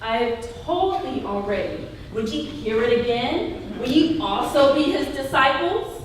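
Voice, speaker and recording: Woman playing a bold healed beggar, high voice. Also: lively intonation, a little slow, slight background noise. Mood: defiant. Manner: declamatory, archaic scripture phrasing. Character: bold, defiant once-blind beggar healed by Jesus. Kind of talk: live church Bible dramatization. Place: church hall, live performance. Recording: dull, distant, long echoing hall.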